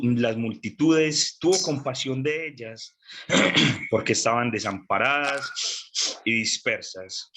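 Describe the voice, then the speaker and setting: A man preaching a sermon, congested with a cold, speaking slowly and deliberately with repeated phrases and frequent throat clearing. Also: mid-range voice, pushed and bright, a little hiss or hum.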